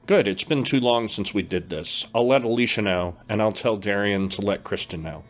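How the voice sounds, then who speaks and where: A man playing a calm, steady older brother who teaches about dreams, with faint music behind. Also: fairly low pitch, moderate pace, close to an everyday voice. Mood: warm. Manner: reassuring, steady. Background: faint background music.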